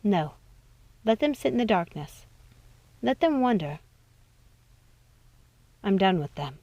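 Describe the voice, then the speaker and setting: A woman voicing a grave, weary young witch in a storybook, gentle but resolute, with measured, somber delivery. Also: medium pitch, very animated, no echo, highs cut off. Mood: cold, resolute.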